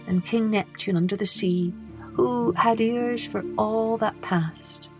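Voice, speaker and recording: Female children's storyteller: medium pitch, expressive scripted narration, deliberate phrasing, faint music bed. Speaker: storytelling podcast host.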